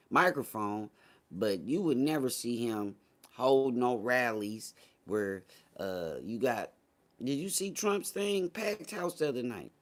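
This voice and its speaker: Blunt, slangy American man chatting casually. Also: medium pitch. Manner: blunt, opinionated, profane, slangy. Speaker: morning show host. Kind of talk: casual chat.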